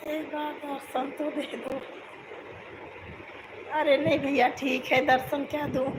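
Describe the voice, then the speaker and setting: A hoarse woman with a cold, chatting casually on her live stream from home while lying down, and repeatedly asking viewers to comment. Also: high voice, little pitch movement, slow, noisy background. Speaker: YouTube folk singer hosting a live stream.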